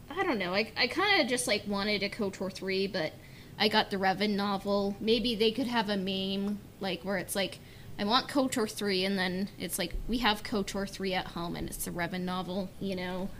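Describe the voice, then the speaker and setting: A woman's voice: medium pitch, a bit bright. A woman chatting casually on a fan discussion podcast, informal and hedging, with illustrative asides. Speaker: podcast panelist. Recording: clear background noise.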